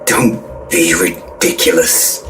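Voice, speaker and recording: An actor playing a crude, petulant, complaining paranormal investigator's sidekick in a comic audio drama. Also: male voice, sits low, takes it slowly, music in the background. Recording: distant from mic, noisy background.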